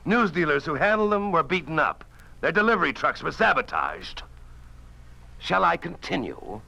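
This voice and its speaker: A cynical, hard-drinking reporter in a 1950s radio play. His voice is fairly high, sharp and creaky, with theatrical sweeps of mockery and eloquent scorn. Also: very animated, normal speed, heavily acted. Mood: grim, biting. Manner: sardonic, theatrical, mocking. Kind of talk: 1950s radio drama acting.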